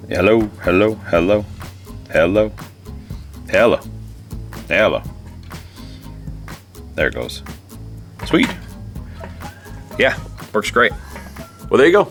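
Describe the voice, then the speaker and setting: Low-voiced man, casual how-to host. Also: very animated, extremely slow, long pauses, soft background music. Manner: informal, muttering to himself while working. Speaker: DIY video host. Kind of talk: casual hands-on how-to narration.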